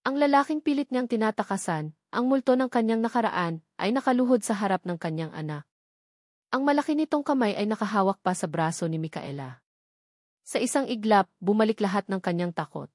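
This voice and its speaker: A woman with a Filipino accent reads a dramatic story as narrator. Her pitch sits in the middle of the usual female range. The sound is dry, with little room in it. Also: expressive, brisk, darkish tone, no echo.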